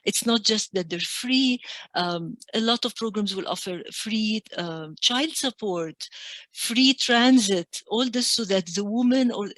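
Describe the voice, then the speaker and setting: A woman speaks as an interview guest on a video call. Her voice is mid-pitched and dry, with no room sound. She is earnest and emphatic, stretching out her key points. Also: measured pace, fairly bright tone, dry recording. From Egypt. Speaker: community organization staff member.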